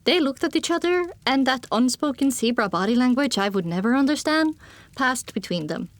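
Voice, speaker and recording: A woman narrates a first-person fantasy audiobook. Her voice is fairly high and moves widely in pitch as she tells the story expressively. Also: expressive, normal speed, no room sound.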